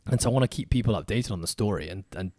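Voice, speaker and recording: A man hosting a podcast, talking in a chatty, upbeat way with joking asides. His voice sits a little low and sounds mellow and warm. The sound is dry and close, with no room echo. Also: racing. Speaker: podcast host. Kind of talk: casual podcast interview. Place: podcast studio in Hong Kong.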